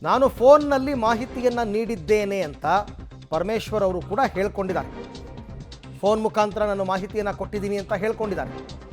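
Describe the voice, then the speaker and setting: A man's voice: sits high, brisk, soft background music. A man with a Karnataka Kannada accent anchoring live television news, mixing in English words.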